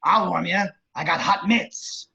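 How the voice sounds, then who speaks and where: A man playing a crude, lecherous, scheming villain running for mayor, with a very raspy voice and wisecracking delivery, in an amateur script read. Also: sits high, measured pace, bright, forceful tone, highs cut off, exaggerated voice. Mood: leering. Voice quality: very raspy, gravelly. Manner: leering, wisecracking.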